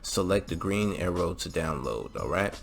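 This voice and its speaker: A man's voice: low-pitched, lively intonation, normal speed, vocal fry. A man narrating a casual step-by-step tutorial as a channel host, with an informal, instructional way of talking. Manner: informal, instructional, often tagging phrases with "all right" and "okay". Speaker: host of a game-modding channel. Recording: music playing behind the voice. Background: background music.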